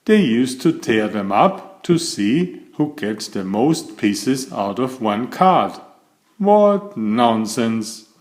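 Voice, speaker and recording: Slow, animated man reading a bedtime story. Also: mid-range voice. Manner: expressive, addresses the listener with questions. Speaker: storybook reader. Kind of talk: read-aloud children's bedtime story narration.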